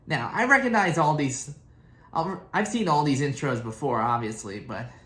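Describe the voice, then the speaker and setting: A man hosting a reaction video, giving casual, rambling commentary in a hesitant, halting, informal way. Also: fairly high pitch, lively intonation. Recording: roomy echo, loud show music behind.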